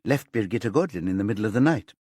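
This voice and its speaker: A man's voice: fairly low pitch, lively intonation, fast talker, a little mellow, no echo, clean studio sound. A man voicing a female prosecutor in an audiobook, falsely cheerful, insistent and pointed in questioning. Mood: pressing.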